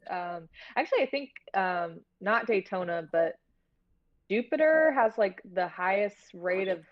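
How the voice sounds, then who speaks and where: A woman's voice: mid-range voice, animated, unhurried. A woman guest on a podcast, chatting casually in an informal, slangy way. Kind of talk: casual chat. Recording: dull lo-fi stream audio.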